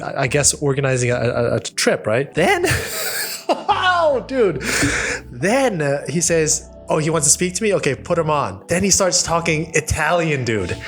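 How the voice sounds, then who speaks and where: A man in his thirties hosting a casual solo podcast, telling a rambling story and laughing along, on a studio boom mic. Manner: animated, rambling, laughs at his own stories. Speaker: podcast host. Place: home studio with wooden wall. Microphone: studio mic on boom arm, headphones.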